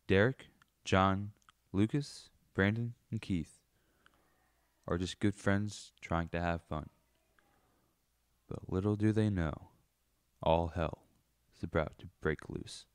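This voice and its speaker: A low-pitched male narrator acted by a man tells a radio murder mystery as the killer himself. The voice is deep and recorded dry, with scripted storytelling delivery. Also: slow, long pauses, clear, resonant tone, no echo. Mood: grim storytelling.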